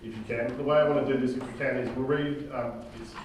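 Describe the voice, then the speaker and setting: A man's voice: mid-range voice, fairly even intonation, fast. Echoey, distant man briefing a group informally. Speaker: table read organizer. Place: reverberant room with a group. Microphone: distant room microphone. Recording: echoey room, far mic, noisy background.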